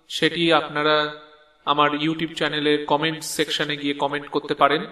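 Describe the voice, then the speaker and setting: A man's voice: a little high, bright, forceful tone, reverberant, long reverb tail. A man presenting his audio drama channel, reading a scripted closing announcement.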